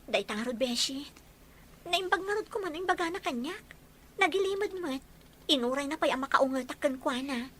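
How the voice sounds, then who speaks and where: Actress as earnest, caring young fiancée in radio drama; high, bright voice over audible room ambience. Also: female voice, animated, normal speed, a little hiss or hum. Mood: serious. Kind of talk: Ilocano audio drama acting.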